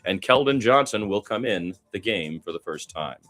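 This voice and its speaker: A man giving live radio-style basketball play-by-play, excitable in fast action and conversational between plays. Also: on the low side, soft background music.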